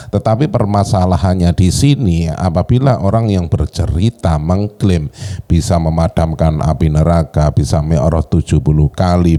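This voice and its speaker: Very dark, deep-voiced male commentator. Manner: measured, halting, searching for words. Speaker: commentary channel host. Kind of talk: unscripted commentary.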